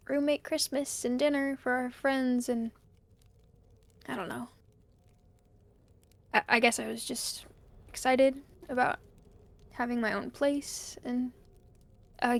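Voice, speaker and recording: Woman acting a slow, creaky, lonely student. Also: high voice, heavily acted. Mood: wistful. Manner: hesitant, halting, sighing. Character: weary, lonely college student stuck alone in a snowbound house. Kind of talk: audio drama, halting personal tape-log monologue.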